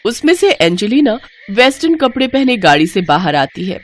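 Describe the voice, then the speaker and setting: A woman's voice: fairly high pitch, very animated, moderate pace. A woman narrating a scripted moral story as a storyteller.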